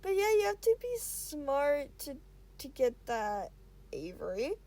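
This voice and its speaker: Woman voicing a calm, mellow young male tutor. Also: sits high, slow pace, exaggerated voice. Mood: reassuring. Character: calm, reassuring young male student tutor, awkwardly blunt.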